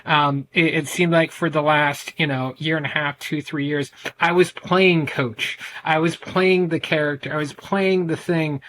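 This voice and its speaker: A middle-aged American man with a loud voice, telling his story candidly in a conversational interview, with frequent restarts and fillers. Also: a little high, fairly even intonation, unhurried, hardly any pauses, poor audio. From United States. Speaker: guest, men's ADHD coach.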